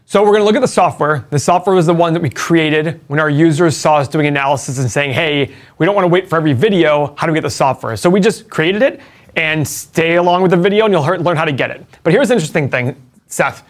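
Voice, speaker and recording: A man's voice: on the high side, quick pace. A middle-aged man co-hosting a casual finance chat, explaining numbers step by step and asking rhetorical questions. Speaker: co-host and stock analyst.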